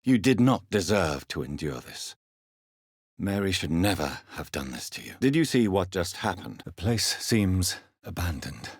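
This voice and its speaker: A very deep, husky gentleman doctor for a video game. He is grave and educated, stern yet courteous. His formal, articulate lines move expressively up and down, recorded completely dry. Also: big pitch swings, dry recording, exaggerated voice. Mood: sorrowful, then pondering. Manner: formal, measured, articulate diction. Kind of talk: dramatic video game dialogue.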